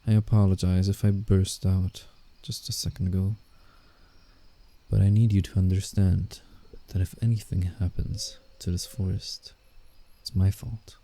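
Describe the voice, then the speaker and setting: Werewolf, male actor, roleplay ASMR. Low-pitched, slow-paced, guarded forest protector with a teasing streak and a soft heart. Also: little pitch movement, gravelly. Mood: earnest, guarded. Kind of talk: roleplay ASMR, scripted character acting with inner monologue.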